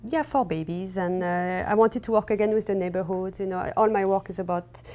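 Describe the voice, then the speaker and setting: A woman's voice: mid-range voice, a little fast, darkish tone. A woman with a French accent, raised in West Africa, answering interview questions hesitantly with many fillers. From raised in Senegal and Cameroon. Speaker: textile artist guest. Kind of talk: casual interview. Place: her studio.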